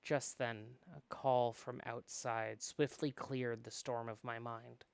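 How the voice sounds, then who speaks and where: A man reads story narration aloud in a dramatic style. His voice is creaky with fry yet smooth and resonant, and the sound is close and dry. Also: medium pitch, flat delivery, slow pace, dry recording. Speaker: host reading a visual novel aloud.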